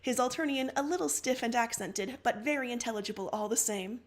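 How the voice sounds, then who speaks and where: A woman reading fan fiction aloud on a livestream as an expressive narrator. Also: on the high side, fairly quick. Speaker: livestream story reader.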